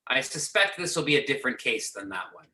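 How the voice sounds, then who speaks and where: A man's voice: mid-range voice, sing-song, fast talker, sharp tone. A man playing a businesslike agency boss briefing agents, reading in an amateur readers theater over a video call. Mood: matter-of-fact. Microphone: video or conference call. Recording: echoey room over video conference.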